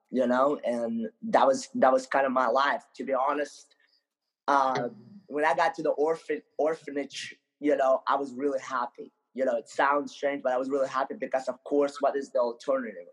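A man from Ukraine with an Eastern European accent, telling his story casually as an interview guest, halting often and repeating words. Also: medium pitch, a bit bright, rough recording. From Ukraine, born in Moldova. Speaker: podcast guest.

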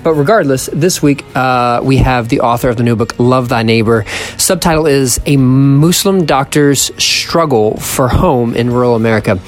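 A young man hosts a podcast in an easy, conversational way, pausing with fillers and restarting his sentences. Faint music plays quietly underneath. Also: medium pitch. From Pennsylvania. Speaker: podcast host. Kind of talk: casual interview.

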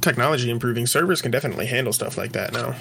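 A man's voice: medium pitch, rapid-fire, vocal fry, crowd noise. A young man co-hosting a gaming podcast, laid-back and slangy, calling people bro and dude. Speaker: podcast co-host. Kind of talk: casual podcast chat.

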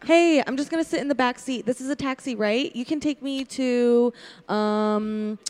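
Chatty, flighty passenger played by a woman, apologetic and rambling, crisp higher voice, improvised radio play. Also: normal speed, a little mellow, natural voice. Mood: breezy. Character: chatty, scatterbrained woman out for cocktails.

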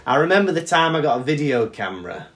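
A man's voice: fairly high pitch, very animated, fast. Chatty podcast co-host, young man. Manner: chatty, laughs often, lots of agreeing "yeah, yeah". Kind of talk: casual chat.

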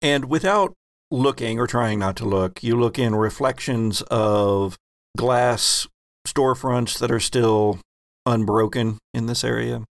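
A man's voice: medium pitch, a little slow, gravelly, dry recording, studio quality. A man hosting a tabletop game as game master, explaining rules and narrating scenes conversationally with joking asides.

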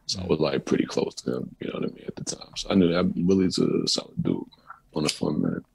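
A man with a very low, gravelly voice and a husky edge tells stories in a loose, profane, animated way. He sounds like a musician guest on a casual talk show, speaking close to the mic. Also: fast talker. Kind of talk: casual storytelling interview.

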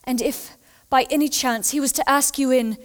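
A woman's voice: high-pitched, steady tone, moderate pace, lightly acted. A woman playing an anxious, loyal Victorian housekeeper in an audio drama, speaking formally and urgently, with deference. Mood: anxious, hushed urgency. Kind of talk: dramatic audio play acting.

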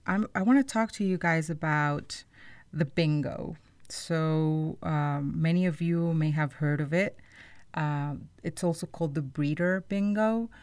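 Woman podcaster, pretty deep voice, chatty explainer style, no room echo at all. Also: a little mellow. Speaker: podcast host. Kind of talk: conversational explainer to listeners.

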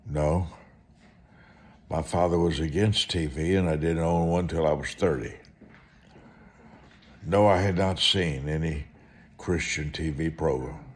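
Slow, deep-voiced male preacher. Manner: emphatic, deliberate short phrases with repetition for effect. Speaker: preacher and author telling testimony. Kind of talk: storytelling sermon monologue.

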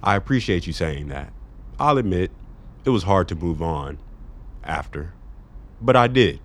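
A man's voice: low-pitched, lively intonation, measured pace. An actor playing a big, charming man with wry, easygoing banter in a radio play. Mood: wistful. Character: big, charming, wistful man, her old flame.

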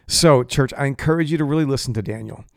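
A man's voice: mid-range voice, fast talker, gravelly, darkish tone, no echo, studio quality. A man pastor speaking earnestly and measuredly to his congregation, then interviewing a guest. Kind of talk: church talk and interview.

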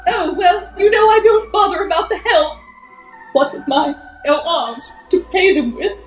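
A woman plays a sweet, naive wife in a radio comedy melodrama. She is plaintive and tearful in a very high voice, with echo and faint music around it. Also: a little slow. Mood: wounded. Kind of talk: improvised old-time radio drama parody.

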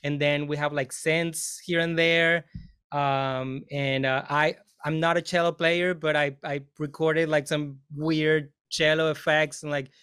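A man's voice: on the high side, flat delivery, measured pace, fairly bright tone, smooth. A man, a film composer on a panel, talking casually and rambling with many fillers and restarts.

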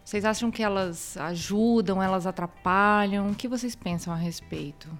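A woman's voice: medium pitch, normal speed, soft background music. A woman with a Brasília accent presenting a podcast and putting questions to guests as host. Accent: Brazilian, Brasília.

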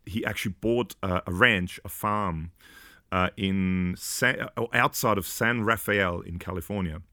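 Man in his forties, from Austria, very low voice, halting and chatty, dry close sound. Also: bright, forceful tone, no room sound. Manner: hesitant, many fillers and restarts, laughs often. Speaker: podcast guest. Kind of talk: casual chat.

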